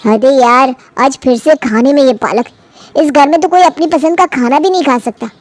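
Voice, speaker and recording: A woman's voice: sits high, normal speed, no echo, pitched below the performer's own voice, lightly acted. A woman voicing a frustrated young man, a younger brother who grumbles wearily, in a cartoon family story. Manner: grumbling, weary.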